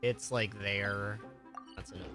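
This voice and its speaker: A man's voice: lowish voice, flat delivery, takes it slowly. A man doing casual live gameplay commentary as a streamer, talking in an offhand, rambling way and repeating words. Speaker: game streamer. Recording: loud game music behind the voice.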